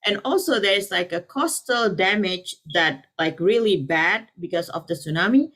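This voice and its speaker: A woman's voice: mid-range voice, normal speed, a bit bright. A middle-aged woman from Indonesia speaking at a panel, rambling with many fillers and restarts. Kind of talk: casual conference talk.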